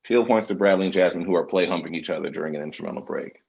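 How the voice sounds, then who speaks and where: Deep, husky male narrator, slightly muffled. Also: very fast, a little mellow. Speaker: stage-direction reader. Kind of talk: scripted narration for a table read.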